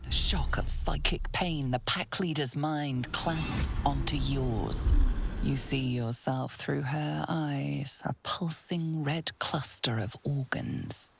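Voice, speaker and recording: Very deep, creaky ominous narrator. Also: female voice, over music, full character voice. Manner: slow, menacing, deliberate, often near whisper. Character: ominous female storyteller narrating a dark fantasy game. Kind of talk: scripted second-person game narration.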